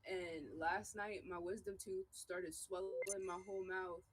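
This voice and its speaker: A woman's voice: fairly low pitch, steady tone, measured pace, husky, poor audio. A woman hosting a casual live stream, congested and nasal, talking in loose slangy bursts. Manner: loose, slangy, hyped talk. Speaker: live stream host reviewing music. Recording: loud music playing behind.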